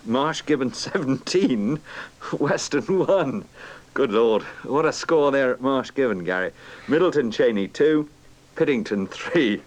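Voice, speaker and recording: British male reader, unhurried, mid pitch, understated. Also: expressive, poor audio. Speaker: radio results reader. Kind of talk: reading football results live.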